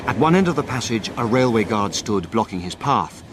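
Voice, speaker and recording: A man acts as a detective's loyal friend recounting an adventure in a radio drama. He is grave and measured yet widely varied in pitch, over clearly noisy ambience. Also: lively intonation, lightly acted. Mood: tense. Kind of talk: scripted first-person drama narration. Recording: clear background noise, rumbling ambience.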